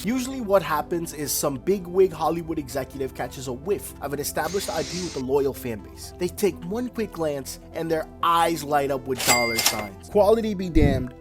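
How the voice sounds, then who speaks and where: Male video essay host, slightly high register, casual but emphatic scripted opinion read, light music bed.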